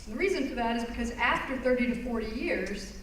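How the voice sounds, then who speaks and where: A woman giving a public presentation, explaining her subject earnestly and pausing on phrases for emphasis. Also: on the high side, animated, a little hiss or hum. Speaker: environmental lawyer giving a talk. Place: conference hall. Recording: roomy hall recorded from far off.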